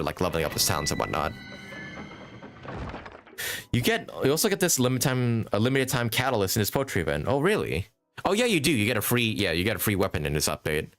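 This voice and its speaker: A man's voice: fairly low pitch, fairly bright tone. A man giving casual, rambling live commentary as he streams a game, thinking aloud and chatting to viewers. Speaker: game livestreamer.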